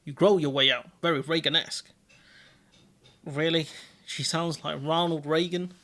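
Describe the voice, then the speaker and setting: A man's voice: fairly high pitch, steady tone, normal speed, bright, forceful tone. A man hosting a political livestream, ranting with exasperated sarcasm and frequent restarts. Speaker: livestream host.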